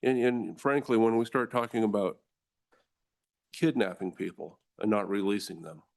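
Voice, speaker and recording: An American man, a district attorney, giving formal, forceful testimony to a legislative committee and addressing the room as ladies and gentlemen. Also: fairly low pitch, normal speed, creaky.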